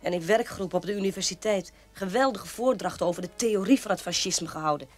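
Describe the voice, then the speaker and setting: An actress playing a mocking, sharp-tongued sister in a radio play, needling and scornful. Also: female voice, medium pitch, fast talker, faint music behind, close to an everyday voice. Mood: scolding, insistent.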